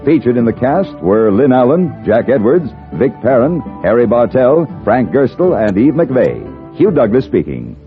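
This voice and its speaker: A man giving formal, deliberate closing credits as a 1950s radio announcer. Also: medium pitch, sing-song. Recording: tinny 1950s radio, music behind.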